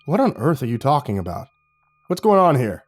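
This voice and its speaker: A man's voice: medium pitch, sing-song, a little fast. A man voicing a mild-mannered school principal who sputters and turns stern and demanding. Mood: sputtering.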